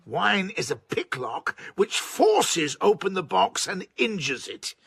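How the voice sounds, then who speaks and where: Period radio drama: older literary gentleman, blunt and witty, argumentative, animated forceful delivery. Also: sits high, slow, creaky, husky, no room sound, exaggerated voice. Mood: combative. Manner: emphatic, argumentative, pronouncing. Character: blunt, witty, domineering older man of letters.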